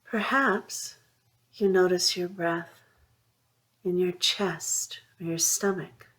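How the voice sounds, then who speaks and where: A woman with a slightly low voice leads a mindfulness practice. She gives gentle instructions in short, soothing phrases. Long pauses separate them, keeping the delivery unhurried. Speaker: mindfulness meditation guide. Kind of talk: guided meditation, gentle instruction.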